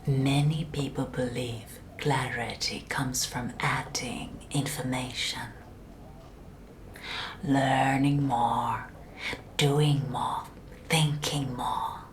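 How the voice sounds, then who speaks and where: ASMR roleplay: actress as calm, controlling female conditioning specialist. Low, soft, whispery voice, soothing clinical manner, faint noise behind. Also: heavily acted. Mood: soothing, hypnotic. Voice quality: soft, breathy, often whispering. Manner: slow, deliberate, soothing, clinical.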